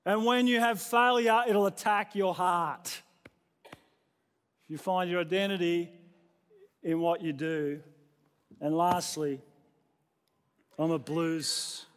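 An Australian man preaches in a strikingly high voice that rings with the long reverb of a hall. He sounds like a pastor talking plainly to his congregation, poking fun at himself and stacking repeated phrases to make his points. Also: slow pace, pauses often, clean tone. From New South Wales, Australia. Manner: conversational, self-deprecating, repeats phrases in lists. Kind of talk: sermon to a congregation.

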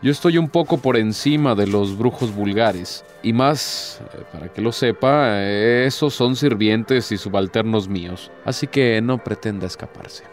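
An actor playing an ancient, courtly Devil in a radio drama, a sly and despairing tempter who speaks formally and insinuatingly. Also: male voice, lowish voice, a little slow, few pauses, natural voice. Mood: sly, seductive. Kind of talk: theatrical radio drama acting. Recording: music behind the voice.

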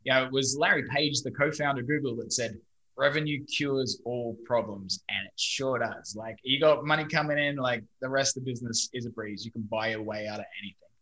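Chatty Australian guest in his thirties, bright and forceful delivery. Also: medium pitch, brisk, rough recording. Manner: chatty, storytelling, mild swearing. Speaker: guest, digital marketing company owner. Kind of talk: casual podcast interview.

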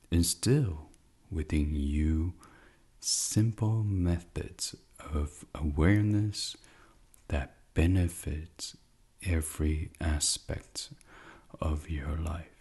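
A man leading a guided sleep meditation in a soft, soothing, hushed ASMR style, with long pauses and near-whispered phrases. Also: a bass voice, breathy edge.

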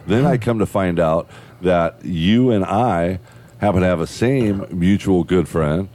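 Man hosting a podcast, extremely low voice, dark tone, pumped, cussing, bro talk. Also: a little slow. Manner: enthusiastic, swears freely, bro-talk. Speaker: podcast host. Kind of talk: casual chat.

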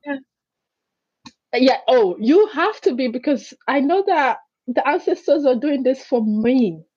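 A woman whose first language is Greek, a guest in an interview who tells stories in an animated way and acts out the voices she quotes. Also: high-pitched, a little slow, slightly rough, no echo, highs cut off. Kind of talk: conversational interview.

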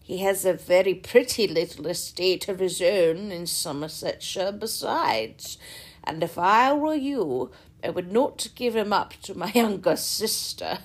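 A woman voicing a hearty, jovial older country gentleman who talks loud, booming and slow. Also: animated.

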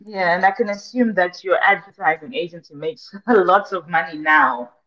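A woman's voice: fairly low pitch, moderate pace, sharp tone. A woman hosting a podcast interview, chatty and loose in her phrasing. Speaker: podcast host. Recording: dull lo-fi audio.

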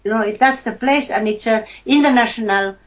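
A woman with a Finnish accent talks through a film in a relaxed, halting way, stopping to hunt for words. Her voice sits a little high. The recording is dull and lo-fi. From Finland. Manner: hesitant, searches for words, laughs often. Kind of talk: casual film discussion.

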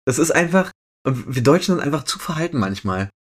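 A young man from Berlin co-hosting a podcast, telling anecdotes casually in slangy, colloquial language with animated delivery. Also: fairly high pitch, fairly quick. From Berlin, Germany. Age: young adult. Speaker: podcast co-host.

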